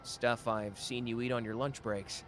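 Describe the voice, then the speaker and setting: A man's voice: medium pitch, fairly even intonation, measured pace, fairly bright tone, slight background noise, close to an everyday voice. A man voicing a short-tempered but protective young man who talks curtly, in an ASMR roleplay. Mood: shy. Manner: gruff and curt, brusque.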